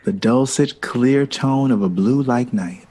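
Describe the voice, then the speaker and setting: Husky guy actor playing a witty smitten jazz composer, refined n a bit theatrical, audio drama. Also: medium pitch, a little slow, dark tone, close to an everyday voice. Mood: charming, flirtatious. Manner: refined, theatrical, savoring words.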